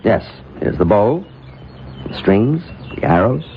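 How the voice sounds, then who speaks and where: A troubled doctor with a deep voice and lively inflection. He is guarded and weary, in old-time radio drama style, on a clearly noisy recording. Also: slow, muffled recording, exaggerated voice. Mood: baffled. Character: troubled, evasive male doctor guarding a secret.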